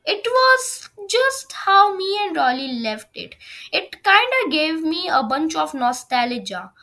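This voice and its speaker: A woman's voice: sits high, bright, forceful tone. A woman doing an amateur read-aloud of a first-person story, now and then stumbling over the words. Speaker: fan fiction reader on own video channel.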